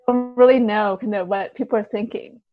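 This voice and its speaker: A woman's voice: mid-range voice, steady tone, brisk, phone-quality sound, faint music behind. A woman speaking as a podcast guest in a casual literary discussion, informally hedging her points with many small filler phrases. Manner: hedging, informal, repeats "kind of" and "like".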